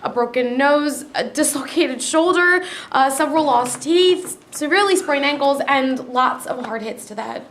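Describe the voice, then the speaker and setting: A woman's voice: high voice, normal speed, few pauses, a bit bright. A woman playing a worried, insistent wife in a staged play reading, earnest and pleading but firm. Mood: concerned. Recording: echoey room, faint background noise.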